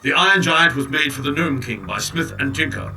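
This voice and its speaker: A man playing a polite, literal-minded clockwork man in an audio drama, speaking in a measured, formal, stilted way with mechanical whirring. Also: on the high side, lively intonation, gravelly, pushed and bright, a little hiss or hum, full character voice, poor audio. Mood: formal, matter-of-fact. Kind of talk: full-cast audio drama. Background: music, gear sounds.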